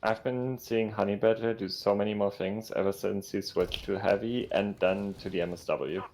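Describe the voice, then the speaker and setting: A man's voice: on the low side, steady tone, normal speed, a little mellow, low-quality recording. A man chatting casually as co-commentator on a live video game match. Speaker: esports co-commentator.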